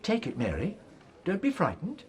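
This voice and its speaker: An actor playing a kindly, reassuring wartime schoolteacher with a husky voice in a radio play. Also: male voice, high-pitched, huge pitch swings, normal speed, slight background noise. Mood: gentle, reassuring. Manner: gentle, reassuring.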